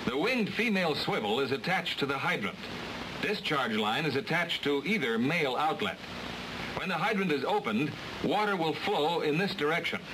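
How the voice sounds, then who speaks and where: A man's voice: fairly high pitch, lively intonation, normal speed. A man giving scripted, matter-of-fact instructional narration for a training film. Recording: hissy old film transfer.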